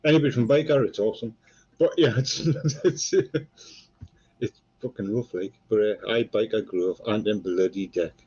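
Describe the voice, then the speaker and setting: A man with a Geordie accent, co-hosting a relaxed live stream and chatting casually, swearing freely and rambling between jokes. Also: lowish voice, moderate pace, husky, lo-fi sound. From North East England.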